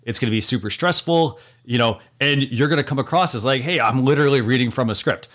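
Male podcast host, relaxed advice-giver, mid-range voice. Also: medium pitch, fast talker. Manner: casual, filler-heavy, advice-giving. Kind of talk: casual podcast chat.

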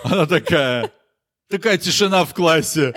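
A man's voice: on the high side, expressive, moderate pace, fairly bright tone. A young man co-hosting a casual podcast, talking in a jokey, repetitive way. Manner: jokey, repeats himself, mimics sounds and quotes. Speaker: podcast co-host.

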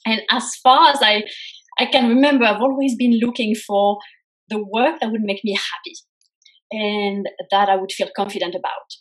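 A woman's voice: medium pitch, steady tone, normal speed, rough recording. French-accented woman telling her story. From France. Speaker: guest, career coach. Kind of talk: live interview, personal story.

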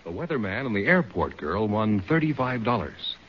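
A man's voice: on the low side, big pitch swings, moderate pace. An American man announcing the running scores on a radio quiz show. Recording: noisy, muffled vintage radio with audience.

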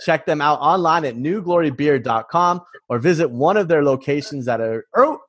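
A man hosting an interview in a casual, informal style, explaining and posing questions. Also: medium pitch. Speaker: interview host. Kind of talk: casual hosting with sponsor read.

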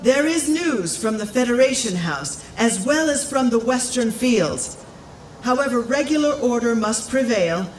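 A woman playing a venerable, firm village matriarch, speaking in formal, measured public address in an audio drama. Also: a little high, lively intonation. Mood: stately, formal. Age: older. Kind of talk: scripted audio drama acting. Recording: echoey, faint background noise.

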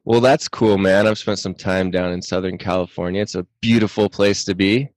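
A man's voice: low-pitched, steady tone, moderate pace, no room sound. A man hosting a podcast, speaking casually and informally as he introduces and interviews. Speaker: podcast host.

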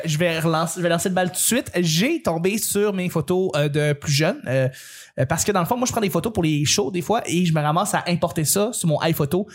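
A high-pitched Québécois man with a cold hosts a friendly chat, his voice leaping up and down as he rambles and laughs. He restarts his sentences often and keeps an upbeat, animated tone throughout. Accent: Québécois French. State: has a cold. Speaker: podcast host. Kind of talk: casual chat among friends.

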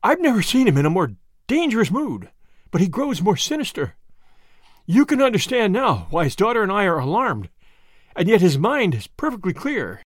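A man's voice: high voice, wildly swooping pitch, normal speed, no echo, clean studio sound, pitched above the performer's own voice, full character voice. A man voicing an anxious, deferential secretary in an audiobook story, earnest, apologetic and urgent. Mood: breathless worry.

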